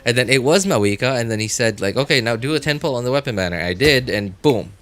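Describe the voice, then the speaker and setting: A man chatting casually to viewers as a game livestreamer, rambling and often repeating himself in a halting way. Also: medium pitch, expressive, a bit bright.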